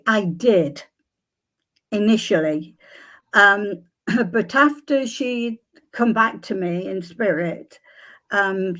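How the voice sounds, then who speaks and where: An older woman, a psychic guest, answering questions with many pauses and restarts, her voice a little croaky. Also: mid-range voice. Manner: hesitant, many ums and restarts. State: slightly croaky voice. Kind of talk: conversational interview answers.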